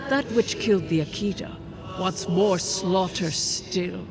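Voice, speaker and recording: An actor performs an ancient spirit guardian in a fantasy video game. The delivery is very unhurried and solemn, with formal, archaic phrasing. Prominent music plays underneath. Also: mid-range voice, close to an everyday voice. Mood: grave, foreboding.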